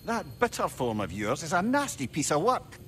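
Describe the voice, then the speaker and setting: Wry video game companion, inquisitive, slightly high, animated, faint ambience. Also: male voice, normal speed, a little hiss or hum. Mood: wry, curious. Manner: dry, inquisitive. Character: wry, curious male adventuring companion.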